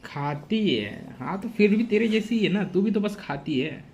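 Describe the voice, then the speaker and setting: A man's voice: high voice, animated, very fast, darkish tone, muffled recording, a little hiss or hum. A young man with an Indian accent giving casual live gameplay commentary as a streamer.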